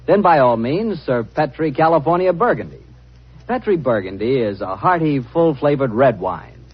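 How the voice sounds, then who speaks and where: Animated husky male radio announcer. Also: mid-range voice. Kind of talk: 1940s radio sponsor pitch and host chat. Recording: muffled 1940s radio recording.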